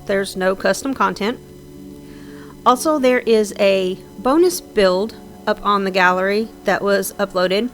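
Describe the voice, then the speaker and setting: A woman with a slightly scratchy voice from sinus trouble, chatting casually and rambling with frequent ums and restarts over her game build. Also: medium pitch. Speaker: Sims builder narrating a speed build.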